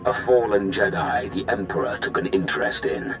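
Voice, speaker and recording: Deep, haughty dark lord. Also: male voice, very animated, soft background music, full character voice. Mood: dark, solemn. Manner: grandiose, measured. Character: menacing, haughty Sith lord, grandiose commander. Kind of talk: video game voice acting.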